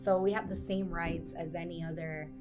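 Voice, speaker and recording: Woman from Puerto Rico, lowish voice; lively personal storyteller. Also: moderate pace. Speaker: interviewee. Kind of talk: documentary interview. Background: guitar music.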